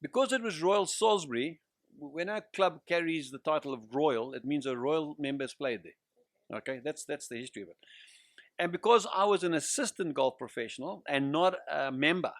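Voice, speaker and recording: An older man from Central Africa with a southern African accent, a guest pastor giving personal testimony in a measured, hesitant way. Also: fairly high pitch, dry recording. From Central Africa (Zimbabwe, Zambia region).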